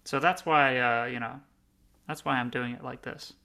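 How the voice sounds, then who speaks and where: A man's voice: medium pitch, fairly even intonation, moderate pace, vocal fry, bright, forceful tone. A man in his early thirties co-hosting a podcast in a rambling, self-deprecating, casual way. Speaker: podcast co-host.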